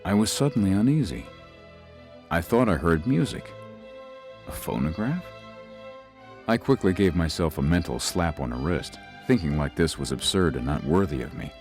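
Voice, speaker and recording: A male actor as a young lawyer recounts an audio ghost story in a very low voice. His measured, literary delivery swings widely in pitch for drama, growing wary and uneasy. Faint music runs underneath. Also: expressive, a little mellow. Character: young lawyer narrating his eerie stay, uneasy, athletic man. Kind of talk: scripted first-person ghost story narration.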